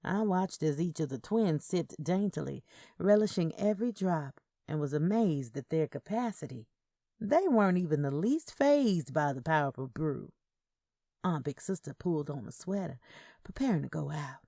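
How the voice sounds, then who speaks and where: A woman's voice: low voice, expressive, normal speed, creaky, mellow, no echo, studio quality. A woman narrating a first-person story, with character dialogue acted out. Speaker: narrator of audio fiction.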